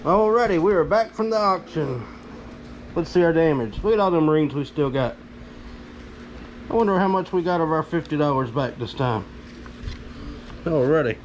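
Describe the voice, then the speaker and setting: A man's voice: a little high, moderate pace, pauses often, muffled recording, slight background noise. A man hosting a casual vlog, talking to viewers in a folksy way. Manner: folksy, addresses viewers directly. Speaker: video host.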